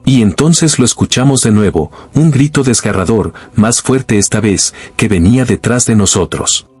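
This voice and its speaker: A man reading a scripted first-person horror story in a slow, grave, suspenseful way. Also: fairly low pitch, lively intonation, a little mellow, slightly rough, soft background music. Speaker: narrator.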